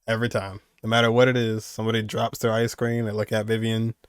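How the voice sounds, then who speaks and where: A man's voice: lowish voice, completely flat delivery, fast, clean tone, no echo, studio quality. A man giving casual reaction commentary on game cutscenes, slangy and full of exclamations.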